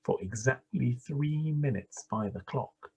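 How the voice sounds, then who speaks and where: Animated, husky male storybook reader. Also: medium pitch. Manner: animated, deliberate, dramatic pauses. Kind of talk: expressive read-aloud children's story narration.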